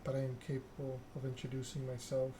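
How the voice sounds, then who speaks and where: A man voicing a cold, noble female vampire ruler in a video game, speaking formally and with measured command. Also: mid-range voice, monotone, natural voice. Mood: stern, formal. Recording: clear background noise.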